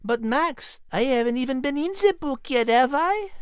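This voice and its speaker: A man's voice: very high voice, expressive, normal speed, clean tone, no echo, studio quality, voice pushed up. A man voicing a petite, intellectual female cat with a French accent, precise and composed, in an animal storybook. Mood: coolly inquisitive. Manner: precise, refined, sprinkles in French words.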